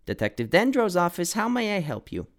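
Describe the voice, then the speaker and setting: A man's voice: sits high, fairly quick, pitched above the performer's own voice. A man doing an eager young male assistant. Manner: excitable, eager. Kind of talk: storybook reading.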